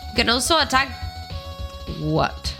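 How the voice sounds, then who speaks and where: Slow-talking woman with a cold. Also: on the high side, big pitch swings, gravelly. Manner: chatty, thinks aloud while playing, hums along. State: has a cold. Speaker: gaming video host. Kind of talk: casual let's-play commentary. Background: game music.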